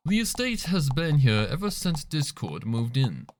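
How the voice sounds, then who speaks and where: A man voicing a composed, grave male pony butler with a polite, deferential and measured way of speaking, in a storybook reading. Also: big pitch swings, a little hiss or hum. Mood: grave, explaining. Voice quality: low voice.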